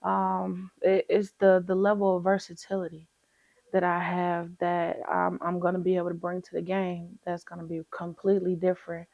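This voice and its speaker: A confident woman talks about her music in a relaxed way. Her voice sits a little low. The recording is dry and slightly dull. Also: moderate pace, gravelly, dry recording. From Chicago, USA. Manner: relaxed and confident, slips into animated quoting of herself. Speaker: rapper, interview guest. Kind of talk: casual interview.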